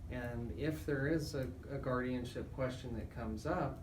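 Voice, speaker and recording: A man's voice: mid-range voice, measured pace. A man speaking as a lawyer on a radio show, explaining legal matters in a conversational way. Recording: echoey room, distant mic, noticeable noise.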